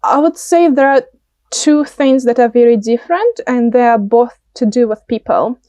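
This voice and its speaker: A woman's voice: on the high side, normal speed, mellow, no room sound. A woman in her late twenties from Russia, with a Russian accent, giving conversational interview answers and often searching for words. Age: late 20s. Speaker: interview guest, political candidate.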